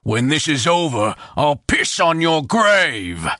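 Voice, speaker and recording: A man's voice: fairly high pitch, sing-song, takes it slowly, pushed and bright, dry recording, clean studio sound, exaggerated voice. A man voicing a wounded, hateful male bandit chieftain who growls threats and begs in panic. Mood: menacing growl. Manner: growling, then pleading in panic.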